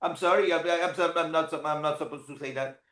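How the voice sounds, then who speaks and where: A man plays a grown son with an Indian accent who humors his vain mother in a hesitant, stammering way. His voice is high, and it rings in a roomy space. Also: fairly even intonation, a little fast, fairly bright tone, close to an everyday voice. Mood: dutiful. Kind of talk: improvised comedy scene. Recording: roomy echo.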